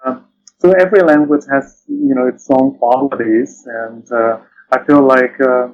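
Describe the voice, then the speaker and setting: Halting, thoughtful man, dull lo-fi recording. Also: medium pitch, fairly even intonation, faint music behind. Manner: halting, thoughtful, often says "you know". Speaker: interview guest, literary translator. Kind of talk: reflective interview conversation.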